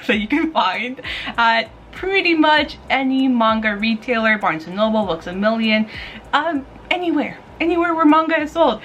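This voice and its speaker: Female vlog reviewer, highish voice, gushing and playful, chatty delivery, noticeable background noise. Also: a bit bright. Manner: gushing, giggly, playful, informal with mild swearing. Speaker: host of a book review vlog. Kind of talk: casual chatty review to camera.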